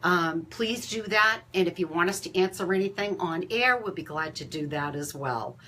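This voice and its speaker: A woman hosting a healthcare talk, reading aloud and chatting casually with steady noise behind her. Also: fairly low pitch, normal speed. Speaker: host and healthcare provider. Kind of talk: reading a rhyming story aloud, then casual live chat.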